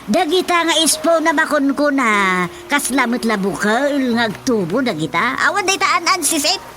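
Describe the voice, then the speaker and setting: A woman plays a sensible Ilocano mother in a radio drama. She brushes things off in a high, bright, matter-of-fact voice. Faint ambience sits in the background. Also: very animated, moderate pace, slight background noise, heavily acted. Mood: amused disbelief. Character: sensible, amused village mother.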